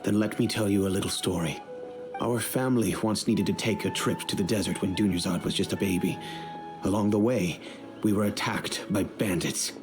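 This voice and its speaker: A man playing a grave, low-voiced family patriarch. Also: natural voice. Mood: solemn. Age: middle-aged. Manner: measured, solemn, storytelling. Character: grave wealthy middle-aged father, family head. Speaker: head of a noble family. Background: game music.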